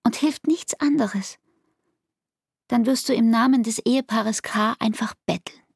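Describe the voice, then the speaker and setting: An actress playing an anguished, devoted young barmaid in an intimate radio play, with speech and a breathy, half-whispered, intense delivery. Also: female voice, a little high, a little slow, dry recording, clean studio sound, full character voice. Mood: bitter, intense.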